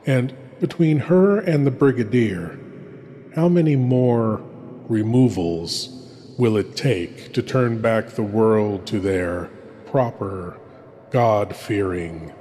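Man playing a sinister, slow horror host in cavernous reverb. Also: fairly low pitch, warm tone, slight background noise. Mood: ominous, relishing. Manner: slow, dramatic, ominous delivery. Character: sinister male horror host, theatrical, ominous, relishing the macabre. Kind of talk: theatrical horror-host outro. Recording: long cavernous reverb, music behind.

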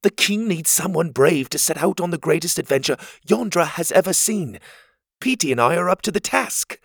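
A man's voice: on the high side, animated, moderate pace, vocal fry, fairly bright tone, breathy edge, no room sound, studio quality, voice pushed up, full character voice. A man voicing a brave, cocky young boy hero who talks proudly and boldly. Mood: boastful. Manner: proud, bold and boastful.

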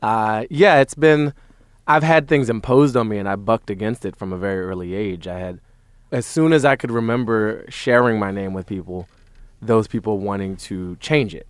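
A man's voice: lowish voice, moderate pace, clear, resonant tone, dry recording. Wry man bantering on a podcast, dry close sound. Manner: animated storytelling, wry and joking. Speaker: podcast host. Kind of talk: casual panel podcast chat.

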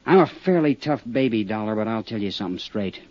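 A man's voice: fairly low pitch, animated, fairly quick, a little mellow. A man playing a tough, cagey small-town roadhouse owner with an American accent in a 1950s radio drama, talking in defensive, streetwise slang. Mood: bitter, emphatic. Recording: dull 1950s radio recording.

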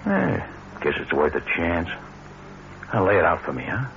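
A very low-voiced, suspicious American hoodlum in a classic radio crime play. He speaks in short, tough, wary lines. The sound is dull with faint noise. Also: wildly swooping pitch, normal speed, gravelly, a bit bright, heavily acted. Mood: grudgingly interested. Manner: terse, wary tough-guy talk. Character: suspicious small-time male crook and blackmailer. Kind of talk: vintage American crime radio drama acting. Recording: muffled, noisy old radio recording.

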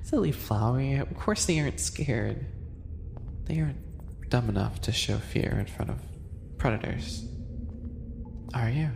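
A man acts out a smug, sadistic animal-boy maid for an ASMR roleplay. His delivery is hushed, teasing and very unhurried, with a creaky fry. It rings with long reverb over noisy ambience. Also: mid-range voice, lively intonation. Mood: possessive, sinister calm. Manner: condescending, teasing, hushed and drawn out. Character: sly, smug, sadistic male animal-person maid yandere. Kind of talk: roleplay ASMR audio acting.

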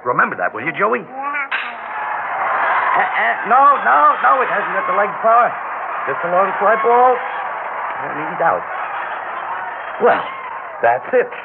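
A man's voice: high voice, big pitch swings, a little slow, creaky, pauses often, heavily acted. An actor with an American accent playing a fond, childlike working-class father and baseball fan in a 1960s radio drama, chatty and folksy. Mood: eager, fond. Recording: noisy lo-fi old radio recording.

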